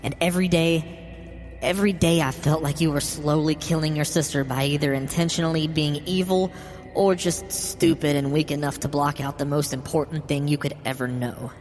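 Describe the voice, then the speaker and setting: A man's voice: a little high, steady tone, normal speed, darkish tone, pitched above the performer's own voice, natural voice. Male narrator as bitter old mother, grieving and resentful, horror audio story, long hall reverb, music underneath. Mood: bitter, resigned. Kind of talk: dramatic horror story reading.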